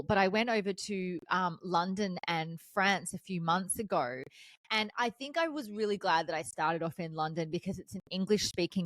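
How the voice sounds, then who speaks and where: A woman podcast host with a mid-pitched voice, talking with eager enthusiasm and easy agreement. Her delivery is casual and chatty. The recording is dry and close. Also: no echo. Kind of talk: casual interview chat.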